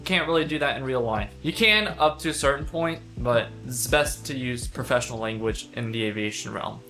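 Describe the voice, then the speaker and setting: A man's voice: mid-range voice, a little fast, bright, forceful tone, soft background music. A young man giving a casual, conversational instructional talk for a video, stressing his key points in an informal way. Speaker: instructor, video host and pilot.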